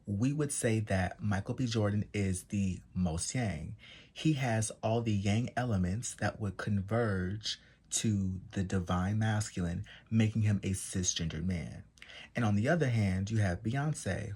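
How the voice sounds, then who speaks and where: Soft-spoken man with a low, breathy voice. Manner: measured, methodical listing with pauses and fillers. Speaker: presenter. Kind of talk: explanatory talk to camera.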